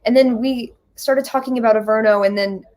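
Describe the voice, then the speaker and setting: A woman's voice: a little high, steady tone, fairly quick, a little mellow. A young woman telling a story casually as an interview guest, acting out little bits of dialogue. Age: young adult. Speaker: interview guest, musical writer.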